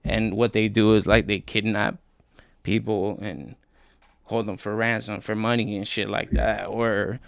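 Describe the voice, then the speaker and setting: A man's voice: lowish voice, level tone, a little slow, vocal fry, no echo, studio quality. Laid-back young man telling his story. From Colombia. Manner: laid-back slangy storytelling, many fillers. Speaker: interview guest, rapper. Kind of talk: casual interview talk.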